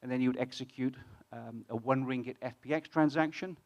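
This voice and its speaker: British male speaker, mid pitch, chatty conference presenter who restarts phrases and talks to the crowd. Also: a little fast, gravelly, darkish tone, dull audio. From United Kingdom. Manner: conversational, many restarts, asks the audience questions. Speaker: fintech founder presenting on stage. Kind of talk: conference talk to a live audience. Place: conference hall with audience.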